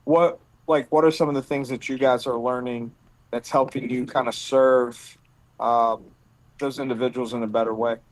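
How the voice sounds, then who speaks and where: A man's voice: mid-range voice, fairly even intonation, normal speed, dry recording, poor audio. A man in his late thirties who runs a rescue mission, talking earnestly and thinking aloud in a casual conversation outdoors. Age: 30s. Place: outdoors on a bench.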